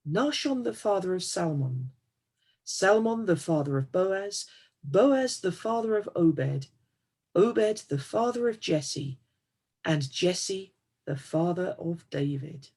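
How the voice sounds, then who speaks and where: A woman's voice: lowish voice, animated, slow, darkish tone, breathy edge. A woman reading a Bible passage aloud as a scripture reader.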